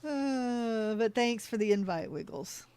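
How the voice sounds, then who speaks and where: A woman's voice: a little high, lively intonation, slow pace, mellow, clean tone, no echo. A woman streaming a game, chatting casually with her viewers, easily sidetracked and laughing often. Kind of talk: casual livestream chat while playing.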